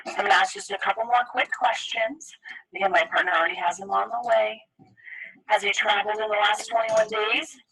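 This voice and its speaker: Emergency call taker lady, bright but raspy, brisk n procedural, radio-ish phone sound. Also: mid-range voice, lively intonation, poor audio. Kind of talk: scripted emergency questioning and instructions.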